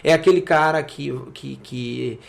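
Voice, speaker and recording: A man with a southern Brazilian accent from Rio Grande do Sul explains things conversationally as an interview guest, haltingly, repeating words and often checking for agreement. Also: on the high side, gravelly. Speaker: podcast guest, city councillor.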